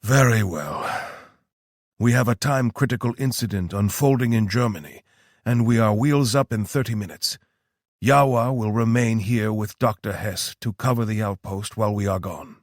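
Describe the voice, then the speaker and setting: A man reading an audiobook voices a formal male team leader who speaks firmly and briskly. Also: lowish voice, dry recording, studio quality, close to an everyday voice.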